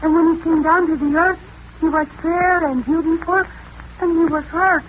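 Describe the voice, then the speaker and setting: A woman's voice: very high voice, steady tone, moderate pace, dull audio. An actress playing a headstrong, impassioned young jungle girl who speaks in formal, dramatic phrases in a radio serial. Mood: awed. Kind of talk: radio adventure serial acting. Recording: noisy old radio drama recording.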